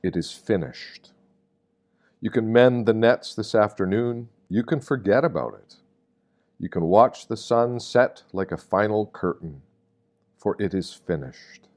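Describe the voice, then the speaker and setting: A man with a fairly deep voice reads a scripted spiritual meditation. His delivery is very unhurried and solemn. He gives measured weight to each short phrase. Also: darkish tone. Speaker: reader of meditations. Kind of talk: reflective scripted reading of a devotional meditation.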